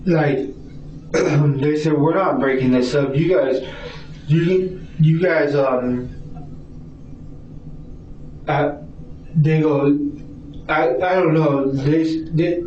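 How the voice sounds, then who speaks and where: A man's voice: a little high, drawn-out words, long pauses, a little mellow. A man answering questions in a police interrogation as a suspect, rambling and repeating himself with stammered restarts, agitated and defensive. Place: indoor police interview room. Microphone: distant room recording microphone. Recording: distant, echoey, muffled noisy interrogation recording.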